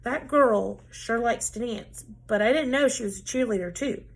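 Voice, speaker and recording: Give me a woman teacher reading a children's chapter book aloud. Her voice is a little high with a gravelly texture, and she tells the story in an expressive, lively way. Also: moderate pace.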